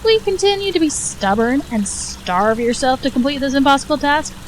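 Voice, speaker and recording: A woman's voice: high-pitched, very animated, brisk, slight background noise. A woman playing a scornful, mocking ghost who speaks inside a girl's mind in an audio drama. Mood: coaxing, taunting. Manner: mocking, coaxing.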